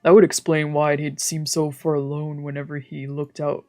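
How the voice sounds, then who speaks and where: A woman's voice: sits low, flat delivery, normal speed, warm tone. A woman streamer reading visual novel narration aloud, now and then stumbling over her words. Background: game music.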